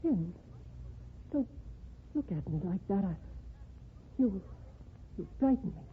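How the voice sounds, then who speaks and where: An actress playing a timid, gentle woman who meets her former lover again, in an old radio drama. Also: medium pitch, expressive, slow pace, a little hiss or hum. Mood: frightened. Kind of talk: 1940s radio drama acting. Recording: tinny lo-fi old radio broadcast.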